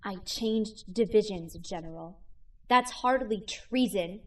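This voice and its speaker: A woman's voice: mid-range voice, slow, lightly acted, low-quality recording. An actress playing a captive female officer who defiantly demands her release. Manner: defiant, urgent.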